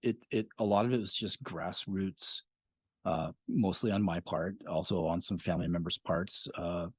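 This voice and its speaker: Man, middle-aged, very low voice, dry sound, casual interview guest, rambling, many fillers. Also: fairly even intonation, moderate pace, breathy edge, dry recording. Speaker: podcast creator, guest.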